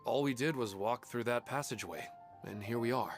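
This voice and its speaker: A man's voice: mid-range voice, fairly quick, lightly acted. A man voicing a mild, unfazed townsman in a video game, easygoing and slightly puzzled in manner. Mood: mildly puzzled. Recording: game music behind the voice.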